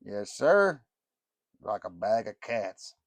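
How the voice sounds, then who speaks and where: A man voicing a large, beastly male inmate who talks in a slow drawl. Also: on the low side, very animated, no echo, heavily acted. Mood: sour.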